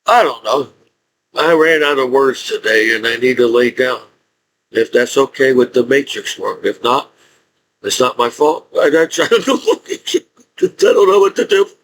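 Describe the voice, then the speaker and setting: A man in his forties speaking in a rambling, halting monologue with frequent restarts and laughs. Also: medium pitch, animated, fairly bright tone, a little hiss or hum, low-quality recording. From United States. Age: 40s. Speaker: YouTuber.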